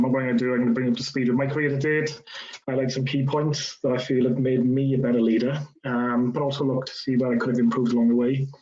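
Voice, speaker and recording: A man in his late thirties with a South Welsh accent, talking easily about his working life and filling his pauses with ums. His delivery stays fairly level in tone. The sound is dull and lo-fi, as on a video call. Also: mid-range voice. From South Wales. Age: 30s. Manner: relaxed, conversational, frequent ums. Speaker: railway professional giving a careers talk. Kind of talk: casual career talk.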